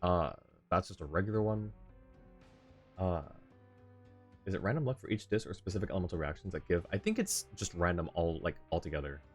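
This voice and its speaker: A man's voice: deep voice, brisk, slightly rough. A man streaming a game live, casually thinking aloud as he reads what is on screen and in chat. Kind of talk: casual live gaming commentary. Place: indoor live stream setup. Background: faint game music.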